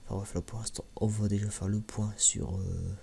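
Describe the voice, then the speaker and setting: A man speaking softly in a hushed, casual ASMR style, hesitant and halting, repeating himself, on a slightly muffled recording. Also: on the low side, little pitch movement, creaky. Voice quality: soft, hushed voice, sometimes near whisper. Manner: hesitant, halting, frequent repeats and filler pauses. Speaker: ASMR video creator and host. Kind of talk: soft-spoken ASMR, casual unscripted review. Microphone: microphone held a little away from mouth. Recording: slightly muffled mic with background noise.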